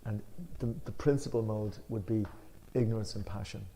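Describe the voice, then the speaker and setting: Mellow-voiced Irish man leading a study. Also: fairly low pitch, slight background noise, low-quality recording. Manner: emphatic when reading, then relaxed and unhurried with fillers. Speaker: scripture discussion leader. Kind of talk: reading a commentary aloud, then explaining it.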